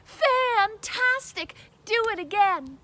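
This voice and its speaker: A woman's voice: sits high, expressive, unhurried, a bit bright, a little hiss or hum. A woman voicing a bold, clever young princess from a storybook, emphatic and exclaiming. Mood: excited flattery.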